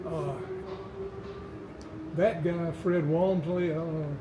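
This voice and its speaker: Older man, tour guide, slightly high voice, folksy yarns, noisy hall behind. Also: steady tone. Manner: folksy storytelling, halting restarts. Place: large indoor museum hall. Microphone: camera held by a visitor nearby.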